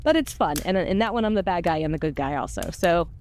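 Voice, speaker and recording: A woman's voice: fairly low pitch, lively intonation, fast talker, darkish tone, a little hiss or hum. A woman chatting casually as a podcast voice actor, informal and self-effacing, rambling a little as she goes. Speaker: voice actor on an audio drama podcast. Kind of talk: casual chat.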